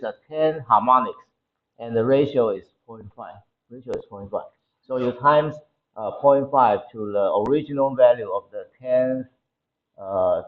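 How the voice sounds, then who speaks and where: A man with a Taiwanese accent lecturing to a class, explaining technical material haltingly and often repeating his phrases. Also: mid-range voice, phone-quality sound. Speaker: university lecturer. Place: indoor classroom.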